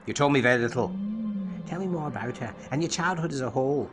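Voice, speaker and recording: A man acting a brooding time-travelling alien who serves as his own therapist, speaking in a solemn, deliberate way in an audio drama. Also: mid-range voice, lively intonation, close to an everyday voice. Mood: probing, formal. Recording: music under the voice. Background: music.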